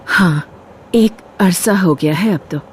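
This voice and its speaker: An actress playing a mysterious, fearless young woman passenger in a Hindi animated horror story, with a playful, teasing manner. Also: lowish voice, takes it slowly, a little hiss or hum. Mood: wistful, curious. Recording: music under the dialogue. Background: music.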